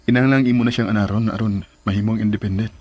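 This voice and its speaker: An actor with a Cebuano accent playing a playful, persuasive middle-aged sweetheart in a radio drama. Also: male voice, lowish voice, fairly even intonation, rapid-fire, darkish tone, dry recording, natural voice. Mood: coaxing.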